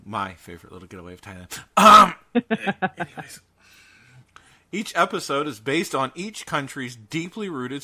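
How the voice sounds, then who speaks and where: A man's voice: medium pitch, pushed and bright. A man co-hosting a podcast, chatting casually through horror news in a theatrical, jokey and emphatic way. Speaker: podcast co-host.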